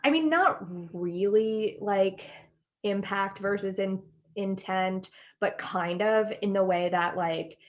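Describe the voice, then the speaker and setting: A woman's voice: mid-range voice, slow pace, dull audio. A young American woman co-hosting a casual podcast chat, thinking aloud tentatively and peppering her talk with "like". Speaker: podcast co-host. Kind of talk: casual chat, discussing reality TV.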